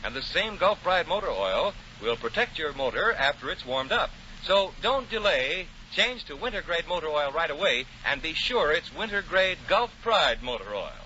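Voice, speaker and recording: A man announcing on 1940s radio with folksy, emphatic patter and sponsor pitches. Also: big pitch swings, moderate pace, few pauses, bright, forceful tone. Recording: dull, noisy 1940 radio recording.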